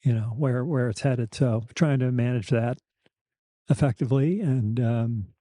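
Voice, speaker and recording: A middle-aged or older man speaking candidly and haltingly as a podcast host, with a soft, slightly weakened voice, a slight lisp and wry reflection. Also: medium pitch, no room sound, studio quality. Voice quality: slight lisp, thick-tongued articulation. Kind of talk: reflective personal conversation.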